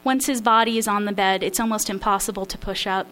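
A woman reads from her own memoir at a literary event, her voice at a middle pitch. She is slightly nervous and self-deprecating, pausing with small fillers between passages. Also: fairly even intonation, fairly bright tone. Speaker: memoir writer reading her work.

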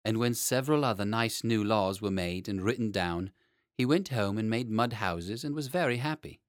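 Guy reading a storybook, expressive, fairly deep, very dry sound. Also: normal speed, dry recording. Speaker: audiobook narrator. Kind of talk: scripted children's story narration.

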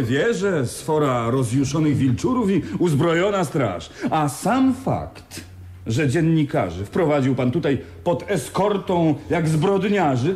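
Echoey, indignant reporter. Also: male voice, fairly high pitch, darkish tone. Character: indignant, persistent male journalist challenging the owners. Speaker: actor. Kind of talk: 1980s Polish radio play acting. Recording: roomy hall echo.

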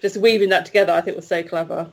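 A woman's voice: on the low side, fairly even intonation, very fast. Chatty woman on a film podcast. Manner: enthusiastic, laughing, hesitant with fillers. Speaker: festival jury member. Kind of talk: casual film discussion.

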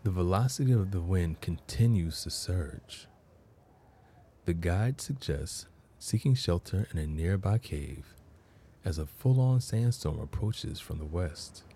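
A man narrating a guided meditation as host, in measured phrases with long pauses between them. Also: sits low, animated, mellow, a little hiss or hum.

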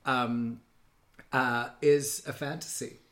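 A man's voice: mid-range voice, fairly even intonation, slow, fairly bright tone. A man, chatting casually in a rambling, self-deprecating and hyperbolic way. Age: 30s. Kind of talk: casual chat.

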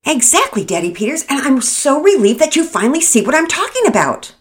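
A woman's voice: mid-range voice, expressive, moderate pace, pitched above the performer's own voice, lightly acted. Woman voicing an angry, huffy mother. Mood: irritated. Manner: loud, huffy, rising to tearful. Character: exasperated mother, quick to anger.

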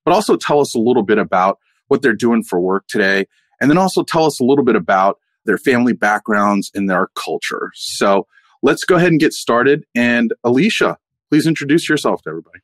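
A man hosting a panel and introducing the guests in a measured way. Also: medium pitch, animated, dry recording. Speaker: host.

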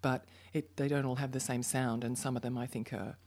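A woman's voice: rumbling low, a little fast. An Australian woman, a poet and musician, talking as an interview guest, reflective and full of stories. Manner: reflective, storytelling, lively asides. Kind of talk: casual interview chat.

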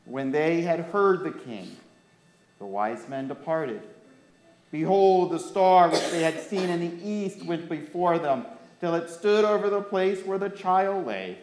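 An American man, a pastor, speaking deliberately to his congregation as if telling a storybook tale. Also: a little high, lively intonation, a little mellow, slight background noise. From United States. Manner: deliberate, addressing listeners directly with questions. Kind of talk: storybook-like Bible reading to a congregation. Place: church during a worship service. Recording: echoey room.

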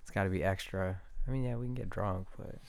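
A man's voice: low-pitched, quick pace, gravelly. A man co-hosting a podcast, talking in loose banter and laughing often. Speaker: podcast co-host. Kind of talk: casual chat.

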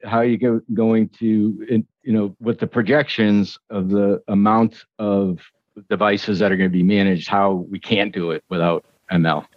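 Boston accent guy, lowish voice, dry muffled audio, kinda hesitant. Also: flat delivery, moderate pace, dry recording. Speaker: panelist.

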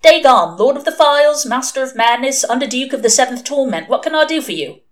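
A woman voicing a male demon lord speaking over a car radio, brisk and officious. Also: high-pitched, expressive, pitched above the performer's own voice. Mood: pompous.

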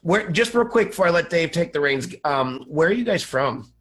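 A man's voice: fairly high pitch, lively intonation. Laid-back male show host. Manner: laid-back, chummy, quick to laugh. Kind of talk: casual interview hosting.

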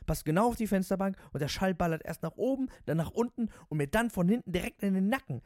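Man acting out a high, shouting sports-mad cabbie. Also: animated, quick pace, dry recording, studio quality. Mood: ecstatic bragging. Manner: slangy, bragging, shouting exclamations. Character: ecstatic, loudmouthed sports-mad male taxi driver.

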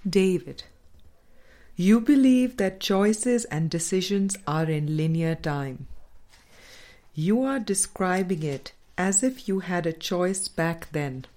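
A woman reading a spiritual book aloud as scripted narration, in a deliberate, measured manner with pauses between phrases. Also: on the low side, mellow. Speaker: audiobook reader.

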